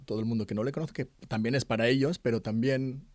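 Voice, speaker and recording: Halting middle-aged man, mellow voice. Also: mid-range voice, steady tone, dry recording. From Germany. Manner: halting, many fillers and restarts. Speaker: interview guest, artist's son. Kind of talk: conversational interview.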